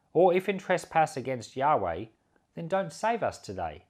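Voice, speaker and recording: A man reading the Bible aloud as a preacher, in solemn, deliberate, emphatic short phrases. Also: fairly high pitch, a little mellow.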